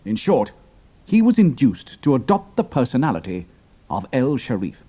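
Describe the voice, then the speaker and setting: An actor playing a cool, respectful officer spy in an audio drama, speaking in a measured, firm, deferential way. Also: male voice, medium pitch, wildly swooping pitch, mellow, husky. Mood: earnest, deferential.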